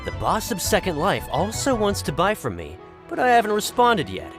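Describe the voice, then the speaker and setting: Pushy fruit vendor, high voice swinging all over, salesy and wheedling. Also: male voice, huge pitch swings, normal speed, exaggerated voice. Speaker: merchant character. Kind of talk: video game voice acting. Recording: game music bed under the voice.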